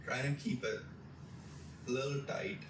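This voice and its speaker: A young man giving a casual how-to demonstration, explaining step by step in a halting way as he works with his hands. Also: mid-range voice, fairly even intonation, noisy background. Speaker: tutorial presenter. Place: small bedroom, indoors. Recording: roomy echo, distant mic, music behind. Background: background music.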